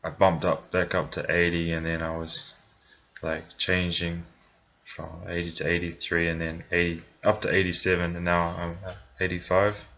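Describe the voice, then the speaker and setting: Young new zealand man, very low voice, bright edgy tone, rambling casual chat, slightly dull lo-fi sound. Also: completely flat delivery, moderate pace. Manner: rambling, full of fillers and restarts. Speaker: vlogger. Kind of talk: casual personal story to camera.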